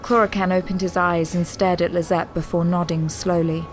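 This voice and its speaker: A woman's voice: lowish voice, level tone, normal speed, faint music behind. A woman narrator reading scripted audio drama narration in a composed, descriptive storytelling manner.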